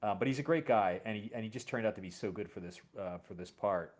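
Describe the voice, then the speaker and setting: A man's voice: on the low side, fast talker. An American man, a series creator hosting a casual conversation and telling stories in a conversational way. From Brooklyn, New York.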